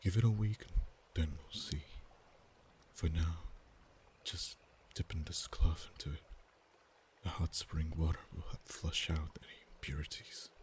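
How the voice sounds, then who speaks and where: A protective dragon in human form, voiced by a man, murmurs in a very deep, low voice. He speaks slowly and softly, often slipping into a whisper, tender with a teasing edge, in an ASMR roleplay. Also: slight background noise. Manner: soft, hushed, often whispered.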